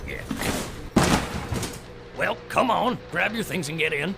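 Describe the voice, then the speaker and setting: A man playing a folksy, kindly working-class truck driver in an audio drama, with a gravelly voice and drawling slang. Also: high voice, expressive, slow, over loud music, full character voice. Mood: easygoing.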